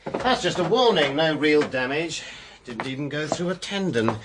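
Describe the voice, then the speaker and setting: An actor playing a scheming, gloating army veteran villain with mockingly polite menace in a radio detective drama. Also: male voice, fairly high pitch, lively intonation, moderate pace, background noise, lightly acted. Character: smooth, sneering male army veteran villain, scheming blackmailer.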